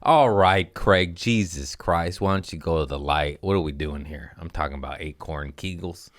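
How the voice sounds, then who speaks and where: Deep voiced comedian guy riffing on his podcast, crude jokes, keeps cutting himself off, dry close studio mic. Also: moderate pace, no room sound. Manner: crude, rambling, jokes at his own expense; breaks off mid-sentence and restarts. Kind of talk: casual comedic monologue.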